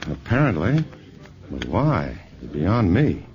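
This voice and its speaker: A man's voice: sits low, wildly swooping pitch, slow, a little mellow, a little hiss or hum, exaggerated voice. An actor playing a polite, reserved male scientist in a 1950s radio drama. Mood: thoughtful, courteous. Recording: dull old radio recording, faint music.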